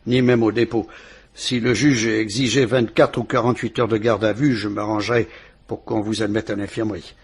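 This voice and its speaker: An actor playing a courteous police inspector who questions a witness in a measured, reassuring way, in a vintage French radio drama. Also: male voice, on the low side, flat delivery, slightly rough. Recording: old radio play recording.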